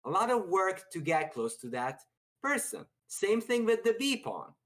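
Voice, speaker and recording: Very animated male chess explainer. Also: high-pitched, normal speed. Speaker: chess commentator.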